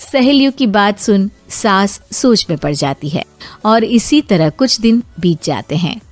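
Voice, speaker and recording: A woman narrates a scripted story in a medium-pitched voice. The sound is dry and close, with faint music underneath. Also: lively intonation, unhurried, a little mellow, dry recording.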